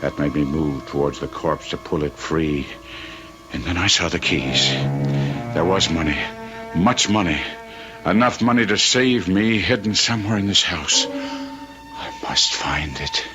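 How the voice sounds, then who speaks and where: A man's voice: extremely low pitch, measured pace, muffled recording, over music. An actor playing a tormented, desperate murderer who narrates his own crime, with brooding, theatrical intensity in an old radio drama style. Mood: haunted, tense. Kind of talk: old-time radio drama acting, first-person narration.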